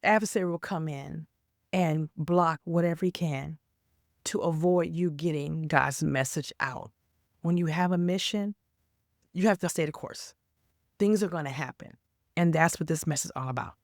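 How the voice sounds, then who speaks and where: Woman faith vlogger, hoarse from a cold, gravelly low voice, dry close sound, rambling then earnest. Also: moderate pace, no echo. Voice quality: raspy. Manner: rambling, stops and restarts, then earnest exhortation. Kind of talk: casual faith talk to camera.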